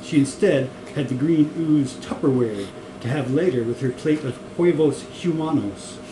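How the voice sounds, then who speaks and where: A man's voice: mid-range voice, moderate pace. A man reading a comic story aloud in an amused way. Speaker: reader of a comic novel. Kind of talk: story reading with acted dialogue. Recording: echoey room with clear noise.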